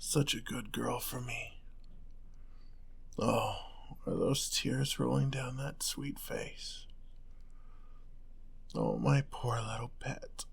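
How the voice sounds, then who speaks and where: A man voicing a centuries-old, sadistic and possessive vampire lord in an ASMR audio roleplay, with a breathy voice, menacing and condescending in his endearments. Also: medium pitch, huge pitch swings, takes it slowly, pauses often, full character voice. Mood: gloating, intimate. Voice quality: breathy, often dropping toward a whisper.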